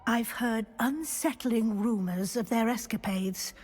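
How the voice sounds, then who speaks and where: A woman playing a polite but firm, concerned professor in a video game, measured and wary in manner. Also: on the high side, darkish tone, slightly rough, faint music behind. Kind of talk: video game character acting.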